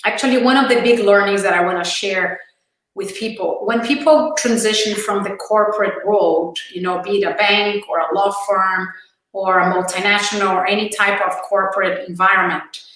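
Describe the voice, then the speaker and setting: A woman's voice: medium pitch, steady tone, moderate pace, a bit bright. A woman in her forties with a Brazilian accent, a guest in an interview, speaking with enthusiasm and care. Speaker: guest entrepreneur. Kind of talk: interview conversation. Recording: very echoey room.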